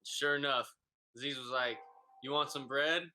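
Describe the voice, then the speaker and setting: Bright-voiced excitable male podcast host. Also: medium pitch, normal speed, a little hiss or hum. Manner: excitable, hypes up the guest. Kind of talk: casual podcast chat.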